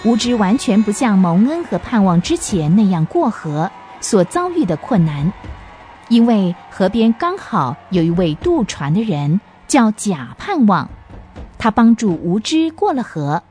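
A woman's voice: mid-range voice, big pitch swings, a little slow, warm tone, a little hiss or hum. A woman narrating a radio drama as a storyteller, reading from a script.

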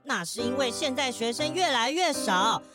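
Earnest high-voiced little schoolboy. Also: female voice, lively intonation, normal speed, over loud music. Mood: earnest, pleading. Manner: childlike, earnest. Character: earnest, eager young schoolboy son. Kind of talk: children's audio drama acting.

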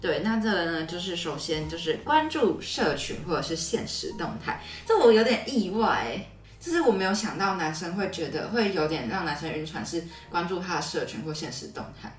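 Taiwanese mandarin girl cohosting a podcast, lowish voice, casual chat, roomy echo w music behind. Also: lively intonation, a little fast, distant mic. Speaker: podcast co-host.

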